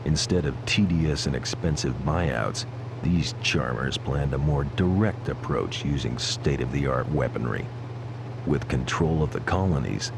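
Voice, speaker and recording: A man playing a weary, cynical police pilot in a video game, narrating in a brooding, wry, gravelly voice. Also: extremely low pitch, lively intonation, unhurried, exaggerated voice. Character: weary, cynical male police gunship pilot telling his story. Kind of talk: scripted noir-like narration. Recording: clearly noisy, vehicle-like ambient hum behind.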